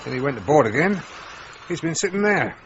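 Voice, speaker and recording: An actor playing a plain-spoken, folksy village police sergeant in an old radio play. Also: male voice, a little high, big pitch swings, fairly quick. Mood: wary. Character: chatty male country police sergeant. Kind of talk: 1950s-style radio drama acting. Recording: dull vintage radio recording, faint hiss.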